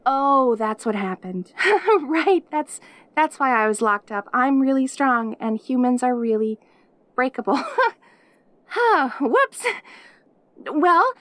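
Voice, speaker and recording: A woman's voice: high voice, big pitch swings, takes it slowly, pauses often, fairly bright tone, no room sound, exaggerated voice. A woman voicing a perky, lonely yandere demon girl in an intimate audio roleplay, eager and stammering as she dotes on the listener. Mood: sheepish, tender. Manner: eager, stammering, giggly, devoted.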